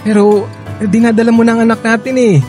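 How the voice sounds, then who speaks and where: An actor playing a concerned, protective young husband, emotive and insistent, in radio drama dialogue. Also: male voice, extremely high pitch, little pitch movement, fast, a little mellow. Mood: pleading. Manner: emotive, pleading, stammering with concern. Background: faint music.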